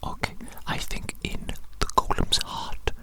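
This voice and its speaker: Breathy whisper, eccentric evil scientist played by an ASMR performer, doting, obsessive, formal wording, soothing repetition, roleplay. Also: natural voice. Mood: doting, reassuring. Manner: repeats phrases slowly, formal old-fashioned wording, soothing.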